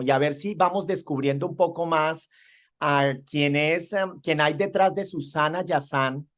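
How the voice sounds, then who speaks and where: A man hosts the conversation, winding through long questions. He often restarts his words and lifts his voice to stress a point. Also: on the high side. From Colombia. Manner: searching, repetitive restarts, emphatic rises. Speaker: interview host.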